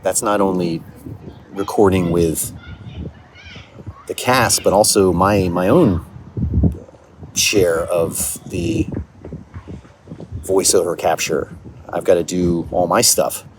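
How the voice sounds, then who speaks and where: A man's voice: low voice, steady tone, takes it slowly, long pauses. Man's casual video diary, noisy location sound. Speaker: audio drama writer and creator. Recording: noisy location recording with vehicle and ambient noise.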